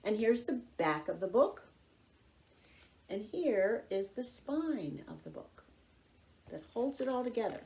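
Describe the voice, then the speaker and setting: Slow, animated woman reading to children. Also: fairly high pitch. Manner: expressive, deliberate, clearly phrased. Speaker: volunteer children's story reader. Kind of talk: read-aloud picture book narration for young children.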